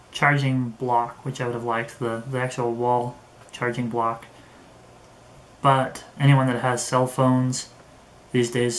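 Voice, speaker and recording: A man giving a casual, unscripted product demonstration, thinking aloud and haltingly as he handles things. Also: medium pitch. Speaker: product reviewer. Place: indoor room. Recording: echoey room, faint background noise.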